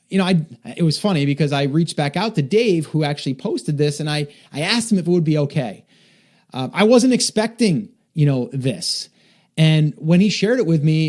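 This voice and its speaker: A man's voice: a little high, a little mellow. A man hosting a solo podcast, talking earnestly and encouragingly to listeners, often repeating himself for emphasis. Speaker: podcast host.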